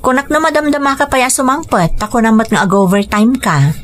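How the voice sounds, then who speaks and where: An actress playing a gentle, patient mother and wife in a radio drama, speaking with a Filipino accent. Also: fairly high pitch, brisk, slight background noise, lightly acted. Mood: warm, domestic. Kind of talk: radio drama acting in domestic scenes. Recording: music bed behind radio drama dialogue.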